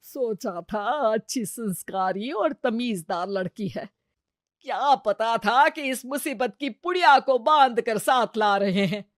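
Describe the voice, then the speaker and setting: A woman's voice: fairly high pitch, takes it slowly, no echo, studio quality. A woman voicing a middle-aged mother-in-law in a family story, grumbling and sarcastic. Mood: exasperated. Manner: exasperated, sarcastic. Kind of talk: Hindi family moral story dramatization.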